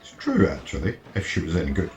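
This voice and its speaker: A man's voice: deep voice, wildly swooping pitch, quick pace, darkish tone, a little hiss or hum. A man hosting a reaction video with casual running commentary, musing aloud in terse remarks with the odd swear word.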